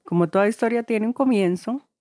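A woman's voice: a little high, a little fast, mellow, no echo. A woman hosting an interview, asking questions with encouraging reactions. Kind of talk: casual interview.

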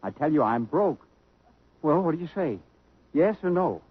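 A flustered, stammering father frets in a lively, wavering voice. He trips over his words. The recording sounds dull and muffled, like an old radio show. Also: a little high, moderate pace, slightly rough. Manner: stammering, anxious. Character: flustered, ruined middle-aged father.

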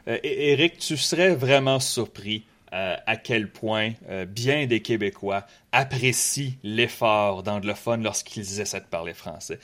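A man with a Quebec French accent hosting a casual political podcast, relaxed and talkative, often repeating words as he searches for the next one. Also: fairly low pitch, a little slow, few pauses. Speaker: podcast host, political columnist. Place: in Quebec City.

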